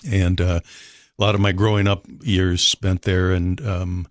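An older man from Minnesota with a Minnesota accent, telling his life story as a guest in an unhurried, polite way. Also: sits low, little pitch movement, dry recording, studio quality. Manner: unhurried, polite, frequent fillers. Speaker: rescue mission director, guest. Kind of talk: relaxed storytelling interview.

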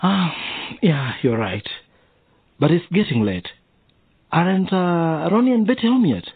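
Soothing, raspy, animated dad. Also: male voice, on the high side, a little slow, dry recording. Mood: casual. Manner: soothing, cautioning. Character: soothing, worried middle-aged father of a young activist. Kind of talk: radio drama acting. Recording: narrow phone-like sound.

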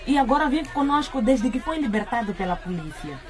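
A woman's voice: a little high, sing-song, fairly quick, some chatter behind. A woman playing a polite, well-off lady in a radio drama, patient and coaxing in manner. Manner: courteous, coaxing.

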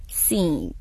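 A woman's voice: mid-range voice, gravelly, mellow, no echo, full character voice. An actress playing a confused teenage schoolgirl in a radio drama, hesitant and confiding.

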